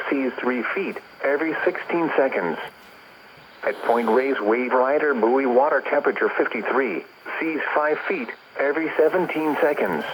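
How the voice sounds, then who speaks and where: A man's voice: mid-range voice, highs cut off. Synthetic American male announcer over noisy radio. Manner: formal, measured, synthetic announcer delivery. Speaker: automated weather radio announcer. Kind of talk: scripted weather bulletin reading. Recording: noisy weather radio broadcast.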